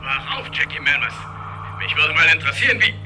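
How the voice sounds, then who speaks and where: A man's voice: a little high, a little fast, close to an everyday voice. An actor playing a menacing, taunting male gunman in a 1970s radio play, sneering and threatening, with noisy ambience around him.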